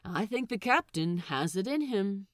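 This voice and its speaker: A woman's voice: mid-range voice, animated, a little slow, dry recording, studio quality, pitched above the performer's own voice, lightly acted. A woman voicing a sassy, exasperated male pirate who argues emphatically, in a fan fiction audiobook. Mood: assured. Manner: emphatic, sweary, argumentative.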